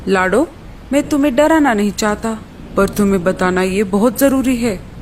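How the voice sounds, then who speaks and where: A woman's voice: medium pitch, normal speed, slight background noise, close to an everyday voice. A woman voicing a friendly male crow in a concerned, emphatic way for a children's cartoon story.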